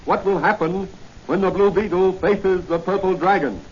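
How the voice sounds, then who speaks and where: A man's voice: high voice, normal speed. A man narrating a 1940s radio serial as announcer, with a formal, dramatic, declamatory delivery. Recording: muffled, noisy 1940 radio recording.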